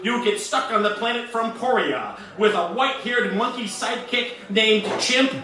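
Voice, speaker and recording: An exasperated space hero, played by a man hams it up in a children's radio serial. The voice is high and grumbling, heavily echoed and set back from the mic. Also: expressive, moderate pace, background noise. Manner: grumbling, melodramatic. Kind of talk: old-time radio space serial parody acting. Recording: echoey, distant, with music behind.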